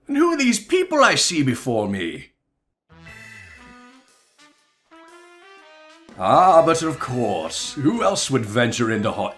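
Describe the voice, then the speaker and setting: Gentleman inspector, pompous, oblivious, theatrical, very animated delivery, faint music. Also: mid-range voice. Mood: grandiose. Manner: melodramatic, florid declamation. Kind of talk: dramatic reading of video game dialogue.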